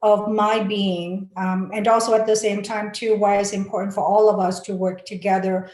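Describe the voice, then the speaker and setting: A woman's voice: mid-range voice, flat delivery, normal speed, darkish tone. Woman panelist, motivational and sincere, mid-range voice, roomy echo on the line. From Malaysia. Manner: earnest and encouraging, with frequent fillers and restarts. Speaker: panel speaker. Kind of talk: motivational talk on a webinar panel. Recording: echoey room.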